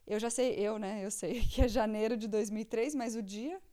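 Warm-toned woman asking questions. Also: a little high, moderate pace. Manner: curious, prompting questions. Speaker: podcast co-host. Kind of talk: casual podcast chat.

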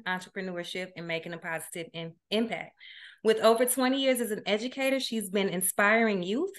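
Woman, podcast co-host, upbeat, emphatic, bright tense voice. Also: mid-range voice. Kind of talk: reading a guest introduction.

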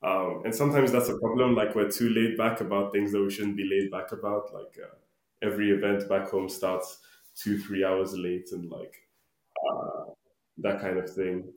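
Young male podcast guest from Ghana, low voice, informal and laid-back, roomy echoey sound. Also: level tone, normal speed. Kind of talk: casual conversational interview answers.

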